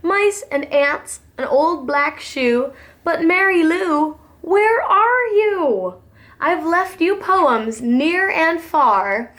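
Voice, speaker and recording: Casting: woman plays lovesick male storybook slug, shy but earnest, high-pitched, reciting rhymes with big melodic swings. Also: expressive, extremely slow, fairly bright tone, heavily acted. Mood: excited, yearning. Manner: earnest, singsong rhyming verse.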